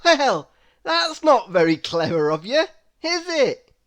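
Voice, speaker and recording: A woman's voice: high-pitched, very animated, takes it slowly, pitched above the performer's own voice, heavily acted. A woman voicing a cheerful, joke-loving little man from a children's storybook, exclaiming emphatically. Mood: teasing.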